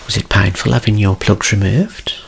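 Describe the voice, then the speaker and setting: A man in his thirties doing a soft-spoken ASMR medical roleplay as a doctor, with a reassuring clinical bedside manner, close up in a small room. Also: on the low side, normal speed, creaky, a little hiss or hum. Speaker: ASMR creator acting as a doctor.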